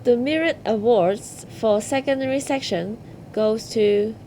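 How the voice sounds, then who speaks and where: A woman's voice: a little high, measured pace, background noise. A woman hosting an awards ceremony, reading scripted announcements.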